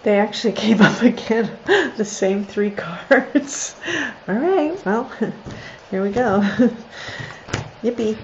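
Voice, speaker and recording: Slow-talking congested nasal woman. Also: a little high, expressive. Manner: chatty, thinks aloud, laughs often. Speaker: oracle card reader. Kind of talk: casual livestream card reading. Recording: slightly dull lo-fi sound with faint noise.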